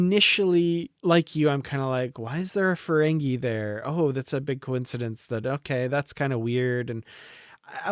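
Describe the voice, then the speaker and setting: Guy cohosting a review podcast, casual chat, middling pitch, really dry recording. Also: no echo. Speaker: podcast co-host.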